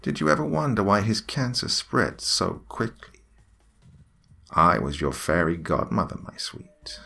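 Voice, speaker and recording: A man voicing an evil alien demon queen in a horror story, with a raspy, slithering, mock-tender and menacing delivery. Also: sits low, pushed and bright, full character voice.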